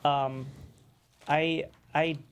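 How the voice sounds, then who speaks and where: A mid-pitched voice gives casual feedback in a script workshop. The reader is tentative and hedges while working through opinions. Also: level tone, extremely slow, smooth. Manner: tentative, hedging.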